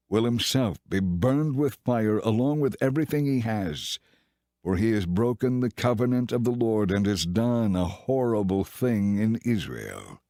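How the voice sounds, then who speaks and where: A man's voice: fairly low pitch, warm tone, no room sound, clean studio sound. A man voicing God in a dramatized scripture audiobook, stern, solemn and commanding as he pronounces judgment.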